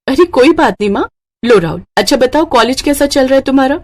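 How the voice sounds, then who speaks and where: A woman voicing a kind, dutiful young doctor in a cartoon story, speaking earnestly and gently. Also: high-pitched, expressive, fast talker, pitched above the performer's own voice. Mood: caring, reassuring. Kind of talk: Hindi cartoon moral story acting.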